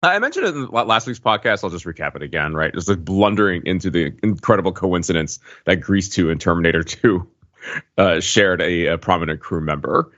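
A man's voice: deep voice, lively intonation, brisk, a bit bright, no echo, highs cut off. A man speaking casually as a podcast panelist, recapping something in an informal way. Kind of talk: casual chat.

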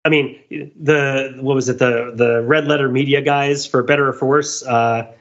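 A man talking casually as a podcast host, animated and rambling, often halting mid-thought. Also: mid-range voice, highs cut off. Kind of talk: casual discussion of old television.

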